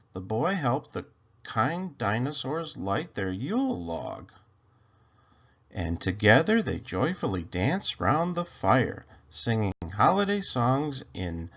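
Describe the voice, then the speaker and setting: A man reading a rhyming children's story aloud in an expressive, theatrical way with drawn-out phrasing. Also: medium pitch, lo-fi sound.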